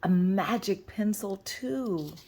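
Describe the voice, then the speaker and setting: A woman reading a picture book aloud to children as a storyteller, with deliberate, expressive phrasing. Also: medium pitch, slightly rough.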